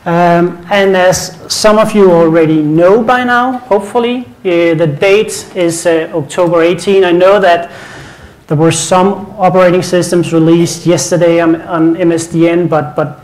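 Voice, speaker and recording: A man from Denmark with a Danish accent, giving a relaxed technical conference talk with restarts and light jokes. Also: on the high side, rough recording. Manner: casual, repeats words, light joking. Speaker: conference presenter.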